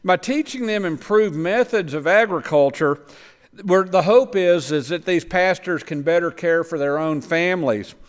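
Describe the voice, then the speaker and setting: A man with a high, smooth and resonant voice explains things plainly and sometimes stumbles over words. His talk rings with a long reverb through a church sanctuary. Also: normal speed. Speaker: missionary agriculture lecturer. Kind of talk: church presentation with slides.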